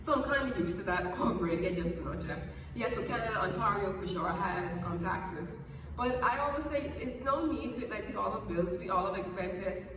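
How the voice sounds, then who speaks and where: A woman's voice: medium pitch, lively intonation, brisk. Woman, Bahamian accent, chatty, emphatic, repeats herself, far from the mic, long echo. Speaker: vlogger. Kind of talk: casual advice vlog. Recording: echoey room, far from the mic, background noise.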